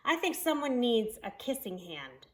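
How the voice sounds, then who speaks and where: Woman as a gentle, expressive mother raccoon. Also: a little high, a little slow. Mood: soothing. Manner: motherly, warm, soothing.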